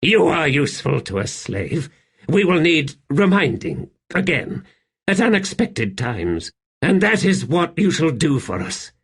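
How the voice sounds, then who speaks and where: Man acting a high-strung, imperious young emperor. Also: sing-song, husky, heavily acted. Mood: anxious, imperious. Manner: halting, strained, swinging between command and fragility. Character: young undead emperor, imperious, unstable, weary and fearful. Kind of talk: audiobook character acting.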